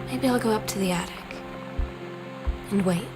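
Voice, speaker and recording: A woman voicing a young woman who reads out her diary entries to her sister in a video game, soft and confiding. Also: mid-range voice, moderate pace, lightly acted. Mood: wistful. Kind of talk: narrated game journal entries. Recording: with music underneath.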